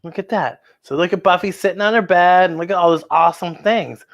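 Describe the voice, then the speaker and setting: A woman hosting a children's story time, excitable and playful, with exaggerated expressive storytelling. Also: lowish voice, fairly quick.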